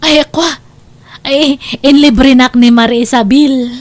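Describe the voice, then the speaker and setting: Woman acting high-pitched, evasive Ilocano wife in debt. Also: steady tone, slow pace, no room sound. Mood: flustered, stalling. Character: evasive married woman who spends on credit, hides her debts. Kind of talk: Ilocano radio-style comedy drama.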